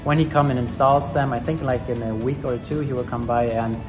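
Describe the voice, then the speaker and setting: Man in his twenties, German accent, chatty vlogger, medium pitch, music behind. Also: fairly even intonation, a little fast. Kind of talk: casual vlog talk to camera. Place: villa rooms, a furniture shop, roadside. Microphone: camera at arm's length.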